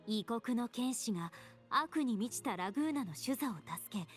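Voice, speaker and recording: A woman's voice: on the high side, lively intonation, fast talker, close to an everyday voice. A woman voicing a composed, thoughtful young female companion in a video game. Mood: pensive. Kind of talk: Japanese video game voice acting. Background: game music.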